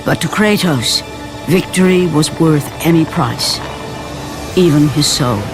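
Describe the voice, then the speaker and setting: An actor playing a grave, portentous storyteller of ancient Greek legend, narrating video game cutscenes in a measured, solemn way. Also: male voice, fairly high pitch, natural voice. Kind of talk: scripted dramatic game narration. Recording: narration over loud cinematic music.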